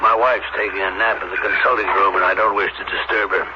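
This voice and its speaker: An actor playing a wary, irritable doctor on a space colony in an old-time radio drama, brusque and commanding. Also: male voice, lowish voice, little pitch movement, fast, close to an everyday voice. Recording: muffled, noisy 1970s radio broadcast.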